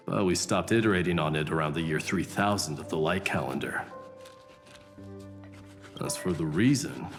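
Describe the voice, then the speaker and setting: A man's voice: low-pitched, big-room sound. A man playing a blunt, confident warrior crown prince in a video game, speaking with formal dignity.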